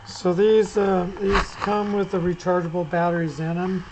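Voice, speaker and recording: A hobbyist giving a casual, unscripted gear demo, explaining things in a rambling, halting way. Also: mid-range voice, little pitch movement. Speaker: hobbyist demonstrating a radio setup. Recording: clear background noise with clicks.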